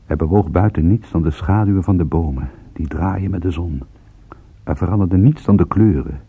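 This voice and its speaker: Husky, low-voiced radio detective. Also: male voice, moderate pace, heavily acted. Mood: grave, suspenseful narrating. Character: wry male private detective, calm under mortal danger. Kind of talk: radio play acting with first-person narration. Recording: muffled, phone-like radio drama recording.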